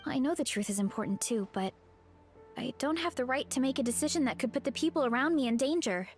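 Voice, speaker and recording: A woman playing an earnest, sweet young food-loving heroine in a video game, with a gentle manner. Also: high voice, lively intonation, quick pace, soft background music. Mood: hesitant, earnest. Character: earnest, cheerful young woman who loves food and her tribe.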